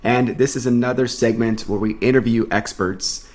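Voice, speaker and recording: A man's voice: mid-range voice, steady tone, moderate pace. A man co-hosting a video podcast, talking in an earnest, reflective way and reading a guest introduction. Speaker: podcast co-host.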